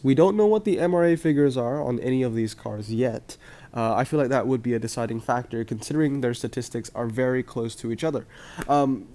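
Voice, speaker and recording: Casting: young male gaming host, mid-pitched, chatty and upbeat, voice a bit off from a cold. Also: mellow. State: voice slightly off from a cold. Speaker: mobile game video host. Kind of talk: casual gaming commentary to viewers.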